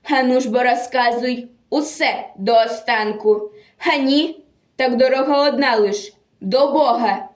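A woman's voice: on the high side, a little slow, lightly acted. A woman voicing a cruel, commanding male Gestapo commandant with a barking, menacing manner in a dramatic poem recitation. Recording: echoey room, distant microphone.

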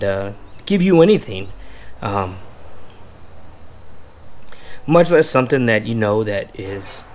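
A man in his forties hosting a fishing channel, unboxing gear in his garage and talking in a halting, earnest way with many restarts. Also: mid-range voice, slight background noise. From Texas. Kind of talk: casual unboxing vlog. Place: open garage, indoors by the door. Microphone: camera in front at close range.

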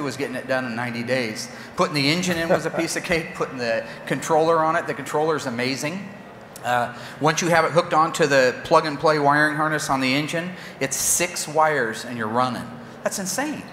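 A man's voice: mid-range voice, sharp tone, a little hiss or hum. A man in his fifties, a car restorer, chatting casually and jokingly on a press event stage. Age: 50s. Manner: brash, joking, enthusiastic. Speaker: car restorer and show guest. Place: large convention hall stage. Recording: echoey convention hall with faint ambience.

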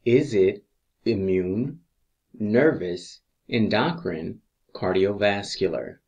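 Male instructor for exam review narration: fairly deep voice, very unhurried, measured reading of questions and options. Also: creaky, darkish tone, highs cut off. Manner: measured, deliberate reading with pauses between answer choices.

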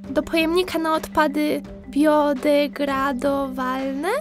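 A woman's voice: high voice, little pitch movement, slow pace, music in the background. A woman playing a keen young girl animal pupil in a children's audio play, reciting dutifully.

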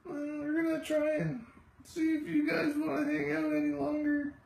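A performer voicing a vain, sassy female house cat with a raspy, drawling voice, live-streaming from a home kitchen on a phone. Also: sits high, flat delivery, darkish tone, reverberant. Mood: smug. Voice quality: raspy, gravelly. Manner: slow, drawling, full of herself. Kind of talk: live-stream pet narration. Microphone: phone camera held by the speaker.

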